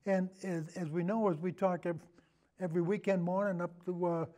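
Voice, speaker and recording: A man's voice: high voice, fairly even intonation, moderate pace, mellow. A man speaking as a podcast guest in a casual film discussion, rambling earnestly and repeating himself as he goes.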